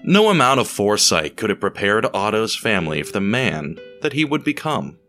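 Bright-voiced man narrating, pitch swinging widely. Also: sing-song, normal speed. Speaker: narrator of an audio drama. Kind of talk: scripted storytelling narration. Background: music.

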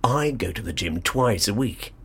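An actor playing a cocky, scoffing male sidekick in an audio drama, with a cheeky, dismissive manner and a husky voice. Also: on the low side, lively intonation, normal speed, a bit bright, heavily acted.

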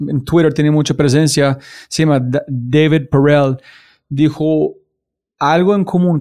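A male podcast host in casual interview style, animated and curious, asking questions in quick bursts. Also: fairly high pitch.

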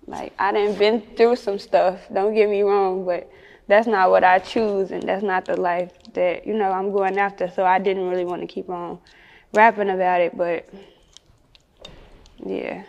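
A woman's voice: mid-range voice, little pitch movement, unhurried, vocal fry, a little mellow, long reverb tail, highs cut off. A woman with a Chicago accent chatting casually as an interview guest, candid and slangy. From Chicago, United States. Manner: candid, slangy, often chuckling.

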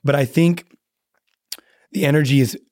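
A man's voice: a little high, normal speed, darkish tone, dry recording, clean studio sound. A man speaking earnestly as a podcast guest, often restarting his sentences. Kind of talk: casual podcast chat.

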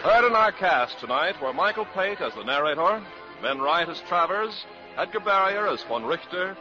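A man's voice: fairly high pitch, sharp tone. A man reading scripted announcements as a 1950s radio announcer on an old recording. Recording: dull vintage radio recording, faint music.

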